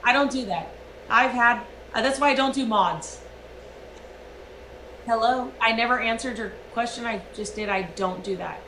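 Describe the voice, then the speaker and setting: A high-pitched, sharp-toned woman rants into her stream, swearing and hammering every point. Her voice rings in an echoey room, with a faint hiss under it. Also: moderate pace. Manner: profane, emphatic ranting. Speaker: livestreamer. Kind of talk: heated livestream rant.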